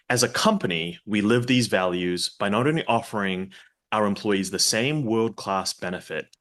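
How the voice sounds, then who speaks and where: A man who is a company executive, delivering a scripted, prerecorded video message in a measured way. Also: lowish voice, fairly bright tone. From New Zealand.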